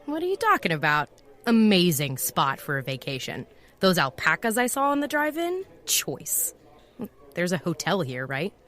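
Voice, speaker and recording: An actress playing a guarded, wry private eye in an understated noir audio drama. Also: female voice, lowish voice, wildly swooping pitch, moderate pace, a bit bright. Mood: dry, sarcastic. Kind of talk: noir first-person audio drama narration and dialogue.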